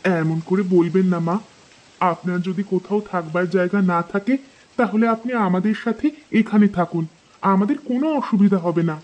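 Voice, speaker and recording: A woman's voice: medium pitch, brisk, darkish tone, dull audio, close to an everyday voice. A woman playing a kind, hospitable village wife in a children's cartoon, speaking in an earnest, respectful way.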